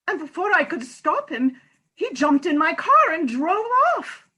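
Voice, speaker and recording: A woman's voice: very high-pitched, big pitch swings, a little slow, sharp tone, heavily acted. A woman playing an earnest, distressed unmarried mother, a nurse pleading her case in a comic stage farce reading. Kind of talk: comic farce readers theatre.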